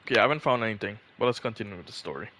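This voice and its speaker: A man's voice: on the low side, animated, racing, pushed and bright. A man streaming a video game live, commenting casually on what he is playing.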